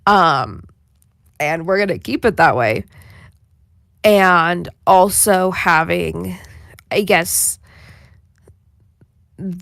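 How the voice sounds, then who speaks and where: A young woman speaks very slowly in a slightly low, gravelly-edged voice, recorded dry and close. She sounds candid and reflective, pausing to think aloud in casual panel talk. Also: a bit bright, dry recording. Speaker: voice actor and podcast panelist.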